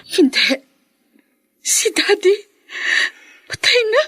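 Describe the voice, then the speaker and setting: Emotional grieving daughter in a filipino radio drama, high voice, lots of swings, filipino accent. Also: female voice, sing-song, slow pace, over music, full character voice. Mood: tearful shock.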